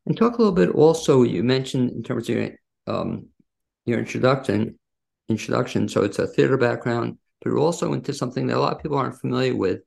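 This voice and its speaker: A man with a heavily creaky, gravelly voice at an ordinary male pitch hosts a casual interview. He talks conversationally and takes a rambling route to each question. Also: lively intonation, quick pace, darkish tone. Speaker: interviewer, show host.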